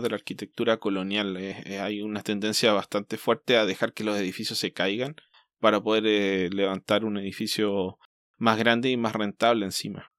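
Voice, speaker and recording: A man's voice: on the low side, dry recording, clean studio sound. Hesitant man chatting on a podcast. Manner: thoughtful, hesitant, many fillers. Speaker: podcast co-host. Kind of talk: casual podcast chat.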